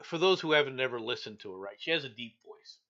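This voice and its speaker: A man's voice: medium pitch, animated, fast, bright, forceful tone, highs cut off. A male talk show host giving blunt, opinionated news commentary, repeating himself for emphasis.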